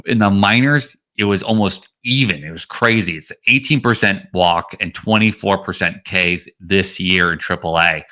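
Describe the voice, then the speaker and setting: Bright, forceful low-voiced man. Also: normal speed, hardly any pauses. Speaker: podcast co-host. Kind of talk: fantasy baseball analysis, casual commentary.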